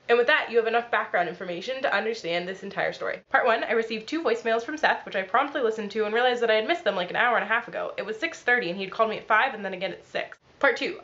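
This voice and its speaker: A young woman who is ill and sounds nasal, telling a story in a quick, dry, deadpan vlog. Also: mid-range voice, highs cut off. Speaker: vlogger.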